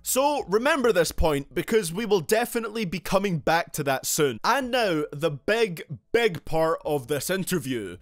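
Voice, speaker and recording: A man's voice: high voice, fairly bright tone, no echo, clean studio sound. A young man in his late teens, hosting a casual commentary video with sarcastic asides. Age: young adult. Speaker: commentary channel host.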